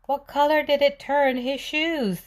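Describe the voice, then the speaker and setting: A woman's voice: high voice, steady tone, measured pace. A woman reading a storybook aloud for young children, expressively asking questions and answering them. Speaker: children's read-aloud presenter.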